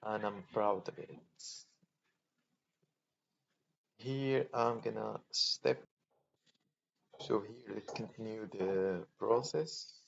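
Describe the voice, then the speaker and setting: A man from Poland hosting a casual screen-recorded tutorial, thinking aloud in a halting way as he clicks through steps. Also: fairly low pitch, gravelly. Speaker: tutorial host. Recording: dull lo-fi mic with faint noise.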